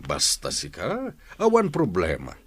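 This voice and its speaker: A man's voice: low-pitched, wildly swooping pitch, measured pace, slightly rough, full character voice. A man playing a moneyed, scheming village don, chummy and self-assured, in a comedy radio drama.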